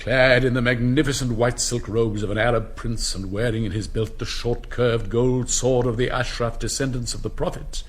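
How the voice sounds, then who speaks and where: Male actor as English headmaster, elderly and pompous, delivering a grand comic lecture at medium pitch. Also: fairly even intonation, normal speed, few pauses, breathy edge, natural voice. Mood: grandly lecturing. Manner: pompous, mannered, declamatory.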